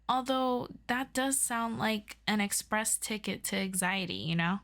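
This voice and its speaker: Woman acting as eager superhero bestie girl, slow unhurried talker, casual halting amateur tutor, medium pitch, audio roleplay. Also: a bit bright. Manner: halting, casual, self-deprecating teacher act. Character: earnest, eager young superhero best friend playing amateur ethics tutor. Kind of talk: audio roleplay addressed to the listener.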